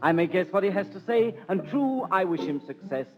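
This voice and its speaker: An actor playing a teasing household clown in theatrical 1930s radio Shakespeare style. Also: male voice, high voice, animated, fairly quick. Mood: sly, confiding. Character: jesting male clown of a countess's household. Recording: muffled old 1930s radio, faint music.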